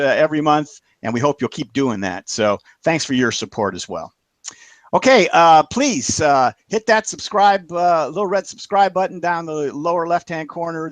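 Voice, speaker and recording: A man hosting a web show, wrapping up with halting, filler-heavy announcements and friendly thanks to panelists and viewers. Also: a little high, no room sound. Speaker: show host.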